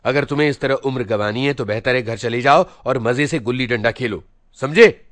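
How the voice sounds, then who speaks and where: Man acting a loud, hectoring, stern elder brother. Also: medium pitch, normal speed, dry recording, pitched above the performer's own voice, natural voice. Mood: angry, scolding. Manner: preachy, hectoring, rhetorical questions. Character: stern, scolding fourteen-year-old elder brother, self-important and studious. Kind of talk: dramatised storybook reading.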